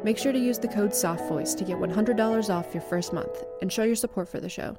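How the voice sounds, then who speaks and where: A woman's voice: mid-range voice, brisk, loud music behind the voice. A woman presenting a scripted podcast sponsor message, addressing listeners directly. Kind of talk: scripted ad read.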